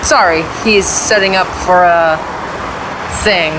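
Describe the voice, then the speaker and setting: A woman's voice: fairly low pitch, slow pace, background noise. A young woman playing an aloof, reticent teenage newcomer with terse replies in an audio play. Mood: guarded. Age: teens to young adult. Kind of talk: youth audio play acting.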